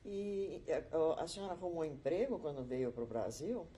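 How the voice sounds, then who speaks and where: An interviewer asking calm, formal questions in an oral history interview. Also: mid-range voice, moderate pace, a little hiss or hum.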